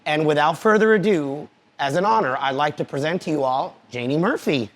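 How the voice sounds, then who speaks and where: A man's voice: fairly high pitch, a little fast. A man hosting a motorcycle talk show, asking enthusiastic questions and often repeating himself as he goes. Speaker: podcast host. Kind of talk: casual interview hosting. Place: cigar club lounge.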